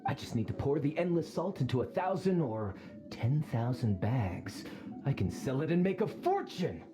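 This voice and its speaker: A man's voice: mid-range voice, very animated, lightly acted. Male actor as greedy merchant, bossy and boastful, swooping delivery, game score behind. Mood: greedy gloating. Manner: grasping, quick to anger, haughty. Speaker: expedition sponsor. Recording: game cutscene over music. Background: music.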